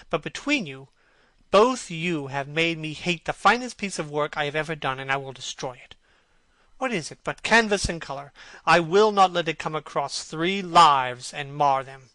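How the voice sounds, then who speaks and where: A man's voice: a little high, lively intonation, normal speed, bright, forceful tone, no echo. A man voicing an earnest painter, devoted to his friend and hurt by him. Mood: bitter.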